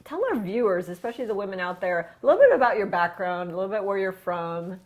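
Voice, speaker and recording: A woman's voice: mid-range voice, animated, quick pace. A woman hosting a wellness interview show in an effusive, emphatic and conversational way. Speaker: host of a women's wellness show.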